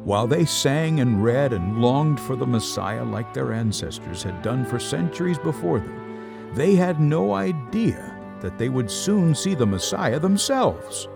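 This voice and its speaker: A man reads a dramatic story as narrator. His intonation moves a good deal and his voice has some creak, with music playing clearly behind him. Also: mid-range voice, sing-song, unhurried.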